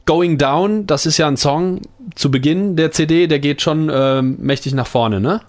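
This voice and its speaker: A man hosting a radio interview, asking a musician relaxed, conversational questions. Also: on the high side, unhurried. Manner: easygoing, conversational, asks leading questions. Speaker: radio host.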